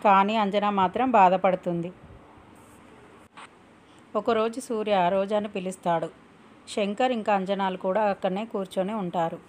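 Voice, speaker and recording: A woman's voice: mid-range voice, steady tone, moderate pace, pauses often, slight background noise. Woman narrating a moral story.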